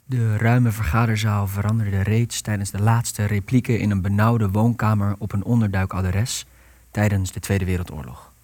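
An actor as a sober narrator, reading stage directions for a Dutch radio play in a measured, formal way. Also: male voice, fairly low pitch, fairly even intonation, a little mellow, no echo, natural voice. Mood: neutral.

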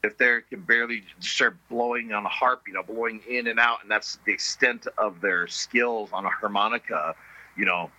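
A middle-aged man, a blues musician guest, talking in a relaxed, story-telling way full of fillers. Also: lowish voice, moderate pace, dry recording, muffled recording. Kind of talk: casual interview chat.

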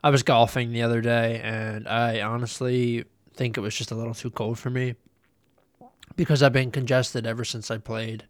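A man's voice: mid-range voice, little pitch movement, normal speed, no room sound. A young man hosting a casual solo podcast, nasal and congested from a cold, rambling loosely with lots of ums and uhs. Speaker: podcast host.

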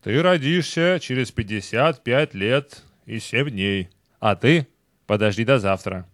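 A man's voice: medium pitch, expressive, unhurried, dry recording, studio quality, lightly acted. An actor playing a stern, commanding old man, Father Time, in an audio play. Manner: commanding, theatrical. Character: stern tall gray-haired old man, Grandfather Time.

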